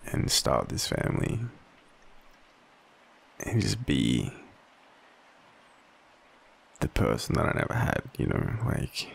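An Australian man playing a vulnerable, devoted young partner in an intimate audio roleplay, hesitant and halting, with a husky voice. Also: rumbling low, very animated, full character voice. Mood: tender, nervous. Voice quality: husky, gravelly. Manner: hesitant, halting, soft-spoken confession.

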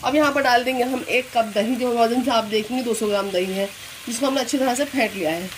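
A woman with a Delhi-area Hindustani accent talking calmly through a recipe step by step, as a cooking channel host. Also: a little high, fairly quick, slight background noise, low-quality recording. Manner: calm instructive, repeats "here" and "this way".

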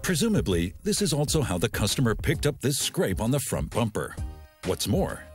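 A man's voice: medium pitch, big pitch swings. Man narrating a documentary over music. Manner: measured, informative delivery. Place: studio voice-over. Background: background music.

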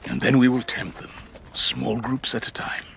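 An actor playing a cold, patient enemy commander in a radio drama, menacing and calculating. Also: male voice, mid-range voice, little pitch movement, moderate pace, vocal fry, a bit bright, a little hiss or hum. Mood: quiet menace.

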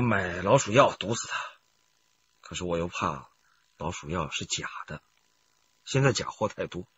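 Brazen gambler with sharp bright voice. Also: male voice, fairly low pitch, breathy edge, lo-fi sound, heavily acted. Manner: brazen, sneering, theatrical. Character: cunning reckless male gambler, cornered murder suspect. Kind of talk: Chinese radio crime drama acting.

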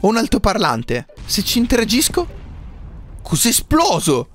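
Young man, very high voice, lively swings in pitch, playful gaming commentary. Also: moderate pace, faint music behind. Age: young adult. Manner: playful, animated, often breaking into giggles. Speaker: gaming YouTuber. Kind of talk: excited game commentary.

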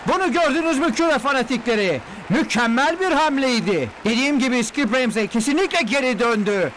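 An actor voicing an excitable male sports announcer in a dubbed cartoon, calling a futuristic arena match. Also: very high voice, a little fast, slight background noise. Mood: excited. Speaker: sports commentator.

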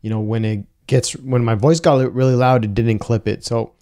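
A young man giving a casual software tutorial, talking through each step with frequent "uh" and "um" fillers, on a lapel mic. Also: mid-range voice, steady tone, normal speed. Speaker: video editing tutor. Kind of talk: screen-recorded software tutorial. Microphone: wireless lapel mic.

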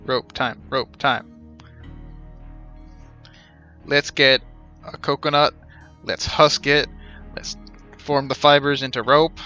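A man in his thirties streams a game with casual commentary. His voice is bright and forward, though the recording is a little dull. He mutters playfully and repeats himself, with faint game music behind. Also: mid-range voice, fairly even intonation, slow pace, long pauses. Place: small indoor room with shelves.

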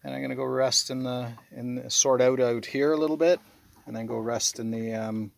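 A man chatting casually as he films his evening routine in a small sailboat cabin, relaxed, with restarts and friendly "eh?" tags. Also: medium pitch, moderate pace, vocal fry. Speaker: sailboat designer and vlogger. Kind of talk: casual how-to vlog narration.

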